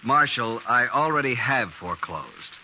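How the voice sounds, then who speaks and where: Hard-edged, haughty frontier moneylender. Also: male voice, mid-range voice, very animated, unhurried, sharp tone, full character voice. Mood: cold. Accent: American. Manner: clipped, pompous, formal. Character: cold, haughty male moneylender, unyielding and imperious. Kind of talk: 1950s radio western acting. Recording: muffled, noisy 1950s radio recording.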